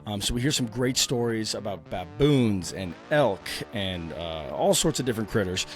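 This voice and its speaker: A man hosting a podcast interview while losing his voice from a cold, chatting in a friendly, halting way with many fillers. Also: lowish voice, expressive, soft background music. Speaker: podcast host. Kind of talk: casual interview chat.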